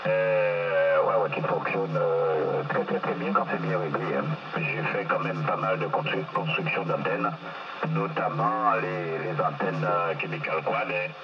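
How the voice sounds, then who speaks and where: A man's voice: deep voice, huge pitch swings, unhurried. A man on a shortwave radio transmission, chatting casually as an amateur operator and repeating phrases for emphasis. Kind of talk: casual radio contact chat. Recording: muffled radio signal, loud static noise. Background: loud radio static.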